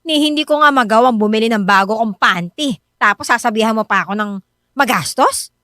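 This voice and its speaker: An actress playing a devoted, hard-pressed wife and mother who is quick to bristle, with expressive, emphatic delivery in a radio drama. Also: fairly high pitch, dry recording, clean studio sound. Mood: indignant. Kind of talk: Filipino radio drama acting. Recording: music bed under the voice.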